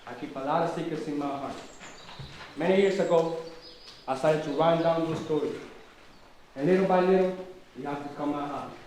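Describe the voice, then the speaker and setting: A man's voice: on the high side, a little fast, long pauses, darkish tone, natural voice. A man playing a kindly old forest king who tells stories in a puppet show. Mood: reminiscing. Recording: very echoey room, distant mic, faint noise.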